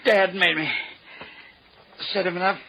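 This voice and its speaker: A man's voice: high voice, fairly even intonation, breathy edge. A dying young man gasping out a confession. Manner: halting broken phrases. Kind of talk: 1950s radio drama acting. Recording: muffled hissy old radio recording.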